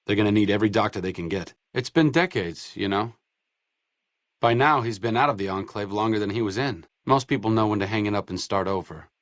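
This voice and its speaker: A man's voice: on the low side, no room sound, close to an everyday voice. A man playing a bookish, self-deprecating doctor and researcher in a video game, speaking in a dry, articulate, sardonic way. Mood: earnest, reflective. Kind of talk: video game companion dialogue.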